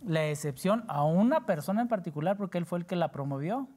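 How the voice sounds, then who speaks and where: A woman's voice: fairly low pitch, lively intonation, a little fast, dark tone. A woman with a Mexican accent talking as a panelist on a discussion show, explaining in formal, wordy, roundabout phrases. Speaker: talk show panelist on tax matters.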